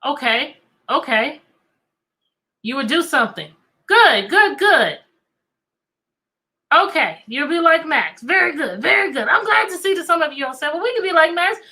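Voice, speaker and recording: A woman teacher hosting an interactive read-aloud for children, talking in an animated way and putting questions to her young listeners. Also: high voice, unhurried, pauses often. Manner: animated, asking listeners questions and repeating them.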